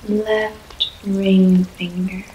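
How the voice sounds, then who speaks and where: Very slow soothing woman guiding relaxation. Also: medium pitch, flat delivery, lo-fi sound. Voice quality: soft, soothing, unhurried. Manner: long pauses between short phrases. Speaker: yoga nidra guide. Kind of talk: guided relaxation meditation. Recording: echoey room, faint background noise.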